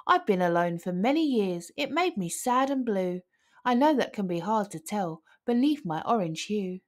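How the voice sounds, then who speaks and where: A woman voicing a tiny, friendly orange dinosaur from outer space in an earnest, expressive storybook reading. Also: mid-range voice, moderate pace, natural voice. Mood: sad.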